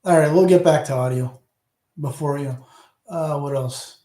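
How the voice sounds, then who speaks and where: A man's voice: on the high side, normal speed, mellow. A middle-aged man with a Southern Ontario Canadian accent, hosting a casual livestream chat, rambling and answering his viewers. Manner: rambling, talks back to chat, trails off. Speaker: channel host. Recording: roomy echo.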